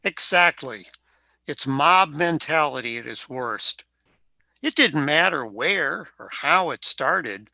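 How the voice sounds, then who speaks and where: An actor playing the ghost of a World War One veteran, telling his story slowly in an amateur radio play. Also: on the high side, expressive, creaky, bright, forceful tone, dry recording, heavily acted. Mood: grave.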